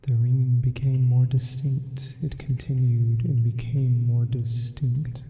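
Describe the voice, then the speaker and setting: A man voicing a sleep paralysis demon reading a horror confession in a hushed, often whispered ASMR style with added reverb. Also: mid-range voice, flat delivery, soft background music. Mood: uneasy. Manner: slow, hushed, deliberate. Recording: muffled, heavy reverb.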